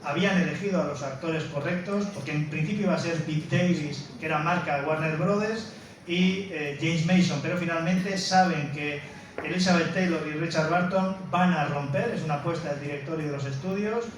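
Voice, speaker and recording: A man's voice: a little high, moderate pace. Echoey, distant man presenting a film. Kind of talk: informal spoken lecture introducing a film. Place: indoor room, presenting to an audience. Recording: very echoey room, distant mic, faint noise.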